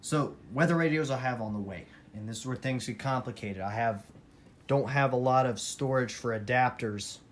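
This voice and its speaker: Casual man vlogging over clear background noise. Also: medium pitch, normal speed. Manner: repeats phrases for emphasis, emphatic. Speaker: hobbyist collector presenting a collection. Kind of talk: casual unscripted vlog talk. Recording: clear background noise, amateur room recording.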